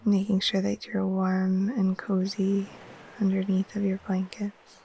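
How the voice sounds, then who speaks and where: A woman gently guiding a sleep meditation in a soft, breathy, half-whispered voice, soothing and unhurried. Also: medium pitch. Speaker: yoga nidra guide. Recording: faint steady wash of ocean waves.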